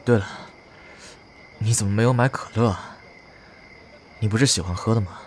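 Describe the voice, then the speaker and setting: A man's voice: on the low side, normal speed, slight background noise, lightly acted. A man playing an earnest, anxious young man in an eerie audio drama, speaking hesitantly. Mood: weary, soft.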